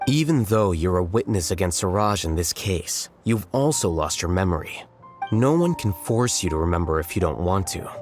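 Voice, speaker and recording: An actor playing a calm, coolly logical male scholar in a video game, measured, detached and precise. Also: low-pitched, faint music behind. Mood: calm, matter-of-fact.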